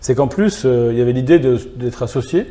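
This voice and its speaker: A man's voice: medium pitch, expressive, brisk, warm tone. A middle-aged man, a philosopher guest, thinking aloud in long reflective sentences in a small gallery salon. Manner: thoughtful, builds ideas in long clauses. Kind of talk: reflective conversational interview.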